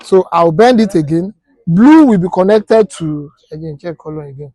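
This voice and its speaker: A man's voice: a little high, expressive, normal speed, warm tone, no room sound. A Nigerian man teaching a hands-on practical class as an instructor, explaining step by step and quizzing listeners with rhetorical questions. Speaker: technical instructor.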